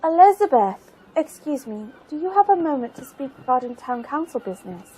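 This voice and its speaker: Actress as diplomatic councilwoman, high pitch, soft dark tone, courteous, formal, fantasy audio drama. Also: very animated, a little fast, slight background noise, low-quality recording. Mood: polite, earnest. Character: polite diplomatic female town council member.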